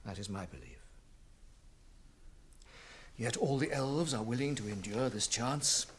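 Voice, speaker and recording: An actor with a British accent playing a solemn, wise ancient elf lord in a radio fantasy drama, speaking in formal, weighty oratory. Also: male voice, mid-range voice, expressive, unhurried, slight background noise, close to an everyday voice. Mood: stern, sorrowful.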